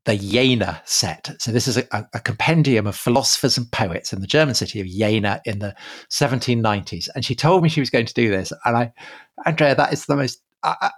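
Halting, chatty male podcast host. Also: mid-range voice, husky. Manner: hesitant restarts, animated when joking. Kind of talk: bantering podcast chat.

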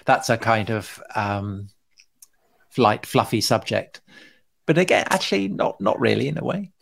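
A man's voice: lowish voice, sing-song, unhurried, gravelly, a bit bright. A middle-aged man co-hosting a podcast in casual chat, hesitant, circling back on his words with dry understatement. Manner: hesitant, repeats himself, dryly understated. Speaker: podcast co-host.

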